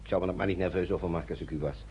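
A man's voice: sits low, steady tone, rapid-fire, close to an everyday voice. An actor playing a guarded, courteous male traveller in a radio play, hesitant and evasive in his speech. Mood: calm, cautious. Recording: dull noisy old radio recording.

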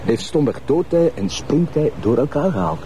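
A man's voice: a little high, sing-song, normal speed, dark tone, a little hiss or hum. A man playing an earnest, sceptical junior Flemish detective who reasons politely and methodically in a TV crime drama. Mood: pensive, sceptical. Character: earnest, sceptical junior male police detective, deferential to his boss. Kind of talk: naturalistic TV crime drama acting.

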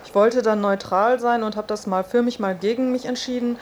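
A woman's voice: fairly high pitch, fairly even intonation, fairly quick, a little mellow, slight background noise. A woman giving a first-person account for radio as a test subject. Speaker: test subject reporting her results.

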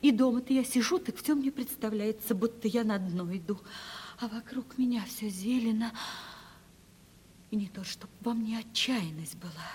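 An actress playing an anguished, resigned young woman in love in a 1970s Soviet radio play, hushed and breathy. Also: mid-range voice, slight background noise, natural voice. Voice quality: breathy, husky. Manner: heartfelt, plaintive, hushed. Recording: old radio play with long reverb.

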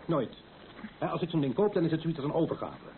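A male actor plays a husband who lost both legs, stubborn yet affectionate. His speech moves in animated swings of pitch. It is a naturalistic radio play, heard narrow and tinny under clear background noise. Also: fast talker, close to an everyday voice.

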